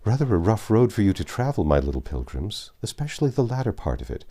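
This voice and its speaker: A man voicing a proud, tender middle-aged father in a storybook reading, speaking in a measured, fond, fatherly way. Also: fairly low pitch, very animated, mellow, pitched above the performer's own voice. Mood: fond, cheerful.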